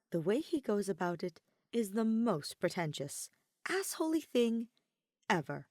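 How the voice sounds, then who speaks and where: A woman's voice: on the low side, a little mellow, no echo, studio quality. A woman reading a story as scripted narration, expressively, with dramatic emphasis on key words. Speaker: podfic narrator reading fan fiction.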